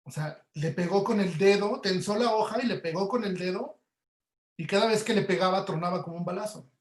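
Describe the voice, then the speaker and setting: High-voiced Mexican man in an echoey room. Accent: Mexican Spanish. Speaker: guest audio producer. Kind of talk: casual explanatory interview answers. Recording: roomy, echoey webinar audio.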